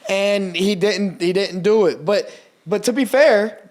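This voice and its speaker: A young man whose first language is Portuguese, co-hosting a casual sports podcast, animated and swearing freely as he breaks down his team. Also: high voice, a little slow. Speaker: podcast co-host.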